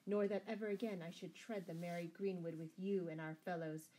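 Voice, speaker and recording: A woman's voice: fairly low pitch, steady tone, brisk, warm tone, natural voice, low-quality recording. A woman reading a storybook and voicing a spirited young male outlaw, a freed prisoner. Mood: grateful.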